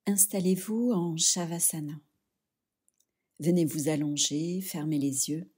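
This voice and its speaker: A woman leading a guided yoga relaxation, speaking softly and soothingly in short phrases with pauses. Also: low voice, breathy edge. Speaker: yoga nidra instructor.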